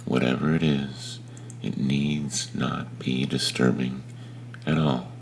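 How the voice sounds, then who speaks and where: Very deep, slow-talking man guiding meditation. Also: level tone, vocal fry, a little hiss or hum. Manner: gentle, unhurried, long pauses. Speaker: meditation teacher. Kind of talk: guided meditation instruction.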